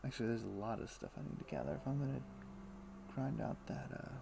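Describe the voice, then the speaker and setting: A man casually commenting while playing a game on a live stream, relaxed and thinking aloud to himself. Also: mid-range voice, fast, creaky, background noise. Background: background music.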